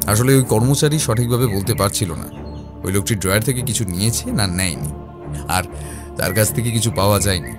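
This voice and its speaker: A man playing a composed detective who recounts his investigation in a measured, explanatory first-person narration. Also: fairly low pitch, close to an everyday voice. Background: background music.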